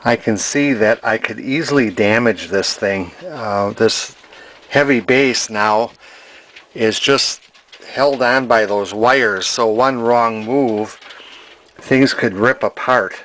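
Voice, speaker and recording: A man's voice: on the low side, takes it slowly, a bit bright, slight background noise, low-quality recording. A man casually talking through an antique radio repair in his workshop, plain and hesitant with frequent fillers. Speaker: radio restorer. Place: home workshop.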